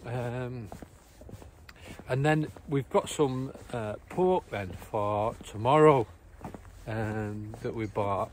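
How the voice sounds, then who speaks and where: Older man, easygoing rambling vlogger, outdoor phone recording with steady background noise. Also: medium pitch, slow. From England. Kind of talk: casual chat while walking. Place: outdoors on a country lane, cold morning. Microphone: phone held at arm's length.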